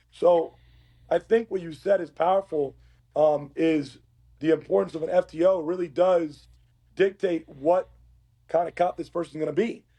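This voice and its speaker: A man with a raspy, hoarse voice chatting casually and recounting his work as a police instructor. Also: high voice, dark tone. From Uruguay. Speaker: podcast guest, police academy instructor. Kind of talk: casual conversational storytelling.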